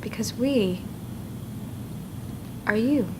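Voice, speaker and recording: Actress as a slow, reassuring group member, noisy film sound. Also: female voice, a little high. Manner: calm, reassuring. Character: calm, persuasive group member. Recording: noisy indie film audio.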